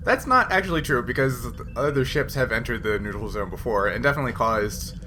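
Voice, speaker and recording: Casting: male game master, medium pitch, casual halting explainer, audible noise behind him. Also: expressive. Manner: halting, filler-heavy explanations. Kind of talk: narrating and explaining a tabletop roleplaying game.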